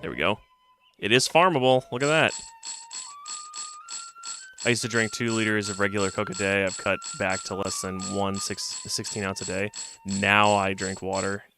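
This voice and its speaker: Stuffy, gravelly-voiced man streaming a game. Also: fairly low pitch, fairly even intonation, moderate pace, pauses often, background noise. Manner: rambling, thinking aloud with many fillers. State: slightly stuffy from a cold. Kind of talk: casual let's-play commentary. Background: game music.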